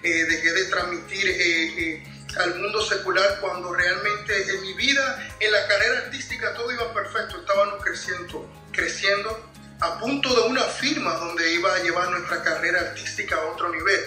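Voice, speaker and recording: Dominican male interview guest, higher-pitched and very bright, roomy video-call sound. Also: steady tone, moderate pace, faint music behind. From Dominican Republic. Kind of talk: interview testimony. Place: indoors at home, on a video call. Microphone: tablet video call.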